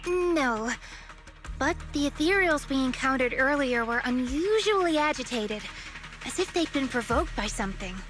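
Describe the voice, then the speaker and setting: A very high, youthful voice carries this gentle game heroine. She explains things in an earnest, thoughtful way, with a slight hesitancy. Music plays clearly behind her. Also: lively intonation, normal speed. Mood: explanatory, focused. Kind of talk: video game voice acting.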